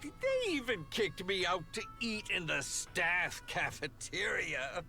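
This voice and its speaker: A man's voice: high-pitched, sing-song, slow pace, creaky, bright, forceful tone, full character voice. A man voicing a whiny, earnest, flustered figurehead boss in an anime-style video game, pleading and indignant in an over-dramatic way. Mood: desperate, indignant. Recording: music behind the voice. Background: background music.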